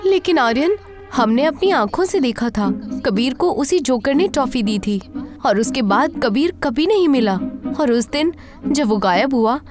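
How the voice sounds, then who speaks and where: A high, expressive voice over background music: a woman playing a frightened young wife in a horror story. She is earnest and anxious, pleading to be believed, with grief underneath. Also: a little slow. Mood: insistent, fearful. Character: frightened, haunted young wife grieving a lost child. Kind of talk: audio horror story acting.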